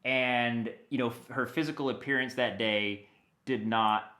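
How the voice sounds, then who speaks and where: Bright clear-cut guy voice, podcast guest talking shop, animated storyteller, keen and chatty. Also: mid-range voice, a little slow. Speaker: podcast guest, audio dramatist. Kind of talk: enthusiastic conversational interview.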